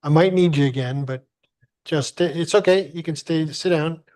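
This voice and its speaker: A man serving as mayor, chairing a council meeting in a halting, polite way and often searching for words. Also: fairly high pitch, darkish tone. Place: council chamber.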